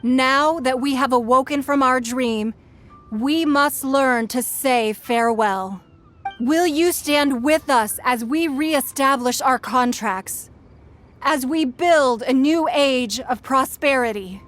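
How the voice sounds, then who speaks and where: An actress playing a solemn, dignified female leader giving a measured ceremonial speech in a video game. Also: sits high, a bit bright, faint music behind. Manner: measured, oratorical.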